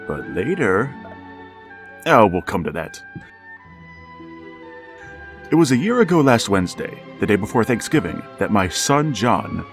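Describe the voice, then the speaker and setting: An actor playing a lonely, embittered widowed father who speaks in a measured, formal, brooding way. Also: on the low side, big pitch swings, soft background music. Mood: grave. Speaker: narrator of the story. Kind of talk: 1940s radio drama narration.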